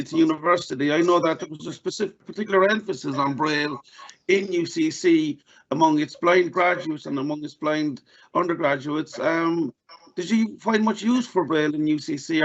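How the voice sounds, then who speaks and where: An Irish man hosting a podcast in a casual, halting way, often restarting his sentences. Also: on the high side. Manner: halting, with many restarts and fillers. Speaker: podcast host. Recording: dull, lo-fi audio.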